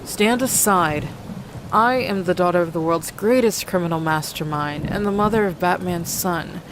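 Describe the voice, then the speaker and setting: A woman as an imperious, low-pitched vengeful villainess. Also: moderate pace, close to an everyday voice. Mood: cold command. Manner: haughty, commanding. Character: imperious, vengeful daughter of a criminal mastermind. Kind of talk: animated motion comic acting. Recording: clear rain and thunder noise behind.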